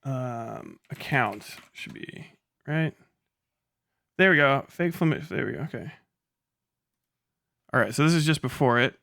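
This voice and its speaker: A man chatting casually as a livestream host, swearing freely in an excitable, exasperated manner into a close microphone. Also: mid-range voice, a little slow, vocal fry, pauses often, slight background noise.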